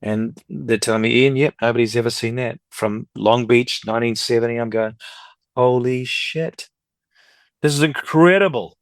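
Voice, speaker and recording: A man's voice: medium pitch, normal speed, dry recording. A middle-aged man talking casually and at length as an interview guest, rambling through anecdotes and acting out what others said. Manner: talkative, rambling, anecdotal, quotes others' speech. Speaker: podcast guest, record collector and dealer.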